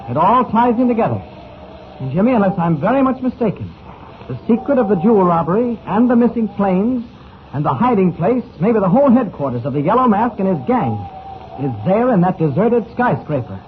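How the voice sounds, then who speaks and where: A confident, earnest reporter in a 1940s radio adventure. His voice is high for a man and his delivery deliberate. The sound is band-limited and a bit noisy. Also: big pitch swings. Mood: suspicious, urgent. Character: earnest, confident male newspaper reporter mentoring a youngster. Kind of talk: 1940s radio serial acting. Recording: old narrow-band radio recording, noisy.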